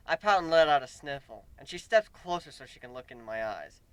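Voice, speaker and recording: A man giving an expressive, emphatic dramatic reading of a first-person story, as an amateur narrator. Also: mid-range voice, fairly quick, clean tone, dry recording, low-quality recording.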